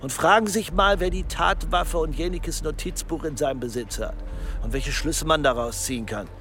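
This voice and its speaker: A man's voice: fairly high pitch, normal speed, fairly bright tone, soft background music, close to an everyday voice. An actor playing a crooked, menacing male police detective in a radio play, smug and mocking. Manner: sneering, smug.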